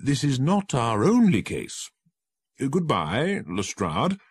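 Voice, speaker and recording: A man voicing a self-assured male detective in a crisp, confident manner. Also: mid-range voice, huge pitch swings, takes it slowly, pitched above the performer's own voice, exaggerated voice. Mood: brisk. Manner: crisp, confident, slightly lifted.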